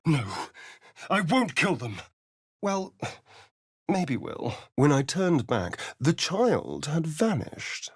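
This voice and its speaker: A sardonic, vain male vampire rogue for a fantasy video game. His delivery is slow and arch, swooping widely in pitch with theatrical relish. The voice is slightly breathy, recorded close and dry. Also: very animated, no room sound, exaggerated voice. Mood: coy, scheming, then dramatic recollection. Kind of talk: video game character voice acting.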